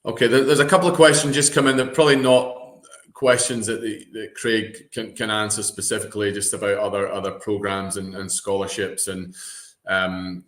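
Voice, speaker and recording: A man hosting an online interview over a video call, polite and halting, often repeating his words. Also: on the low side, little pitch movement, fairly bright tone. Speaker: webinar host. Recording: roomy echo.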